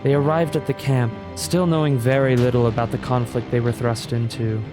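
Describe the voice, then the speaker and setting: A man reading a scripted fantasy recap in a measured storybook manner. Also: mid-range voice, level tone. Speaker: narrator. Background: clear background music.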